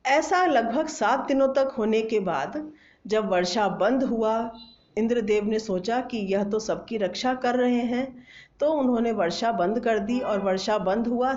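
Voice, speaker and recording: A woman's voice: fairly high pitch, darkish tone. A woman telling a bedtime story to children in an instructive, questioning manner. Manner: instructive, asks listeners questions. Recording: echoey room, slightly dull sound.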